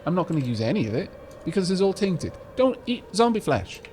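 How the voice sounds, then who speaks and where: A man hosting a let's play, chatting casually and explaining game mechanics with a slight rumble in his voice. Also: very animated, a little fast, darkish tone. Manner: chatty, explanatory, frequent restarts and fillers. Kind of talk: casual gameplay commentary.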